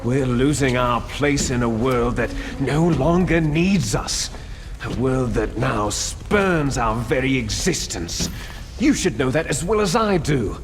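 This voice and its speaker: Game villain: proud warmonger, grandiose and taunting, slow delivery over noticeable noise. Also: male voice, medium pitch, animated. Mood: grandiose, impassioned. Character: bitter, theatrical male soldier villain, proud warmonger. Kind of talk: dramatic video game acting.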